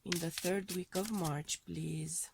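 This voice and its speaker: A woman's voice: on the low side, steady tone, takes it slowly, creaky, heavy background noise. A woman giving a weekly tarot love reading, her voice thrown off by a heavy cold, phrasing things tentatively as she reads the cards. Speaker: tarot card reader.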